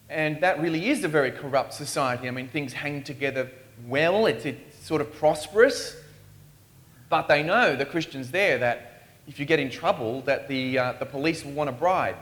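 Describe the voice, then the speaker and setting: A man's voice: fairly high pitch, fairly bright tone. A man preaching a sermon in a church in Australia, earnest and pleading as he poses questions.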